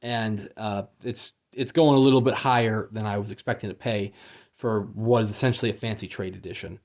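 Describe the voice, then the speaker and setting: A man's voice: on the low side, fairly even intonation, a little fast, darkish tone. A man giving a casual, unscripted unboxing review as he goes, in a hesitant, understated and mildly let-down manner.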